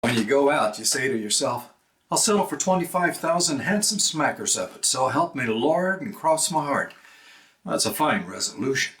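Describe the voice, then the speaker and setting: An actor as a seasoned gold prospector in a very echoey room. His mid-pitched voice is knowing and world-weary as he tells a cautionary tale with emphasis in a stage monologue. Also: expressive, moderate pace, a bit bright, lightly acted. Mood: knowing warning.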